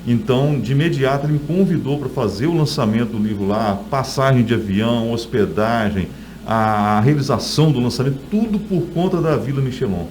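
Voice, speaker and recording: A man's voice: medium pitch, brisk, few pauses, a little mellow, slight background noise. A middle-aged man with a Brazilian accent, an author telling stories in a conversational interview.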